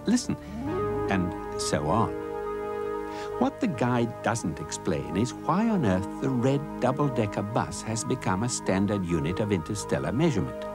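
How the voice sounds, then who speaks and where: A British man reading scripted comic documentary narration in a measured, mock-serious way. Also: on the low side.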